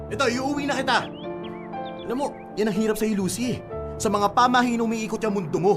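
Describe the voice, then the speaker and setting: A man's voice: sits high, a little fast, natural voice. A man playing a practical, skeptical young boyfriend in a Filipino radio drama, speaking in a conversational, reasoning way. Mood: angry. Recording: music bed behind voice.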